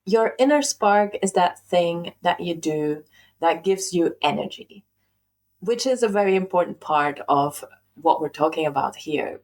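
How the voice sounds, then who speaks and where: Soft-spoken Belgian-born young woman. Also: sits low. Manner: soft-spoken, frequent ums and likes. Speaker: podcast co-host. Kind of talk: casual podcast chat.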